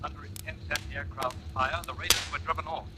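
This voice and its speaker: Man playing grave 1940s newsreader, brisk, formal, fairly high voice, crackly noisy vintage recording. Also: fairly even intonation, natural voice. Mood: grave urgency. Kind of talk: 1940s news bulletin acting.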